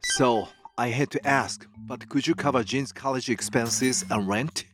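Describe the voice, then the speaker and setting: A man's voice: medium pitch, unhurried, natural voice. A man voicing an earnest, apologetic middle-aged father in a manga dub. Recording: music bed behind the voice.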